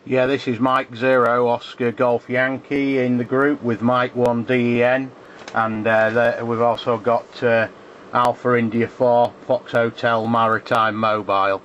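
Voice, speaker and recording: Flat-toned English man on ham radio. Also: mid-range voice, a little slow. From England. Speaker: amateur radio operator. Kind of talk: casual ham radio contact. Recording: dull sound with faint radio noise.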